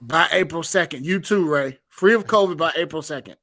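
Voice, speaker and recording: A man's voice: a little high, fairly quick, bright, forceful tone, no echo, clean studio sound. A man co-hosting a podcast in casual chat, insisting and repeating himself. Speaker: podcast co-host.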